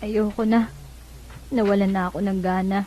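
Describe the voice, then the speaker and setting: A woman's voice: mid-range voice, level tone, moderate pace, mellow, close to an everyday voice. An actress playing a sullen, despairing young female student in a Filipino radio drama. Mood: dejected. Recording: dull lo-fi radio drama recording.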